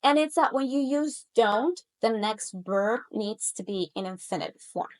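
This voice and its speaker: A woman in her thirties from Colombia, a native Spanish speaker with a Colombian accent, telling her own language-learning story in an expressive, animated way. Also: on the high side, a little slow. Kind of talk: personal storytelling to camera.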